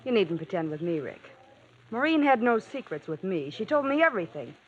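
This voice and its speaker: A woman's voice: mid-range voice, big pitch swings, fairly quick, a little hiss or hum, close to an everyday voice. A woman playing an insistent, accusing young woman in an urgent, confrontational 1940s radio drama style. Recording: dull vintage radio recording.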